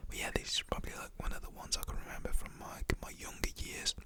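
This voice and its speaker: A young man, whispering a rambling personal story to the camera with lots of "like" fillers. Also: fast talker. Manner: whispers throughout. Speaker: storytelling vlogger.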